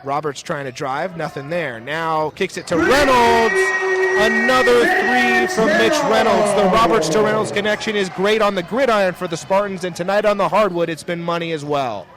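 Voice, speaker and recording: A man's voice: high voice, moderate pace. A young man doing live radio play-by-play commentary of a basketball game from inside a school gym. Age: young adult. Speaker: play-by-play announcer. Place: indoor school sports gym. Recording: noisy courtside gym, ball bounces behind. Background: gym ambience, bouncing basketballs, clear noise.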